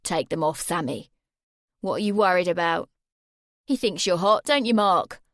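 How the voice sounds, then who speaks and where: A woman voicing a menacing, controlling teenage boy in a lowered, harsh tone. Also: animated, moderate pace, a bit bright, no echo, studio quality.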